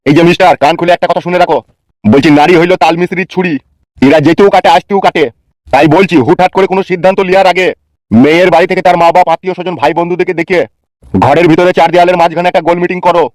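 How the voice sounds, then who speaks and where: A man's voice: high voice, steady tone, rapid-fire, darkish tone, no echo, natural voice, poor audio. A man playing a stern, weary, crude-tongued senior police officer in a comic cartoon, lecturing bluntly.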